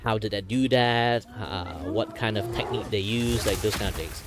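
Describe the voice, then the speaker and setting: A man's voice: on the low side, fairly even intonation, moderate pace. A young man from Malaysia with a Malaysian accent, chatting casually as a streamer, rambling with lots of fillers and loose English grammar. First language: Hakka. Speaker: VTuber streamer. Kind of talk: casual chat answering viewer questions. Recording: music playing loudly behind.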